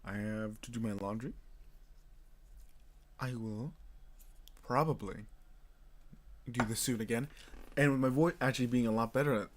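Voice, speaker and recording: A man streamer, hoarse after a cough, chatting casually and downplaying his own voice acting. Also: medium pitch, unhurried, long pauses, a little hiss or hum.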